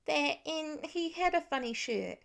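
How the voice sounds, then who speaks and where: A woman's voice: sits high, lively intonation, unhurried, vocal fry, fairly bright tone, voice pushed up, full character voice. A woman voicing an earnest, pouty five-year-old boy in a storybook reading. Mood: insistent, upset. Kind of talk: audiobook character voice in fan fiction.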